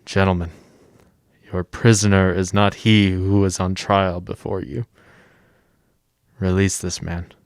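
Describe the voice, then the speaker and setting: An actor playing a dignified mayor with a convict past in a radio drama, solemn, deliberate and oratorical. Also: male voice, sits low, flat delivery, clear, resonant tone, dry recording, studio quality. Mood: solemn, grave.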